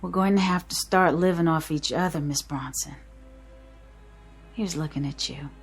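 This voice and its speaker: An actress playing a weary but steady young woman, a painter, in a 1960s television drama. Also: sits low, normal speed, husky, exaggerated voice. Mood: subdued, grim. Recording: vintage TV soundtrack with music behind.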